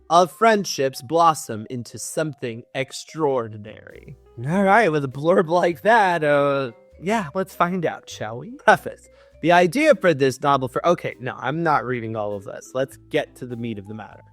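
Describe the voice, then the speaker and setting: Theatrical, higher-pitched man reading aloud. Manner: theatrical, sarcastic, mock-solemn reading. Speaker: host, writing coach. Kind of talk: comedic dramatic book reading with dry asides.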